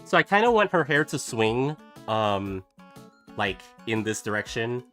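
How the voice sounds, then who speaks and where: A man's voice: medium pitch, very animated, a little slow. A man chatting casually while he draws, rambling with many fillers and restarts, still getting over a cough. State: recovering from a cough. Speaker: artist streaming a drawing session. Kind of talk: casual chat while drawing. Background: soft background music.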